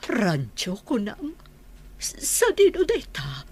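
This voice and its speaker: An actress with an Ilocano accent playing a sickly, sorrowful old mother, breathy and often whispering, in a radio drama. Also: a little high, huge pitch swings, takes it slowly, darkish tone, exaggerated voice. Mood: sad, weak. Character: sickly, sorrowful elderly mother, weary and longing. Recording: noisy ambience under voice.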